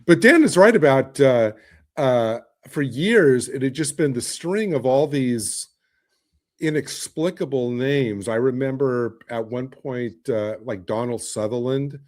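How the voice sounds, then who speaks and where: A man's voice: medium pitch, expressive, a little slow. A man chatting casually on a podcast panel, talking in an anecdotal way. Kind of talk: casual chat.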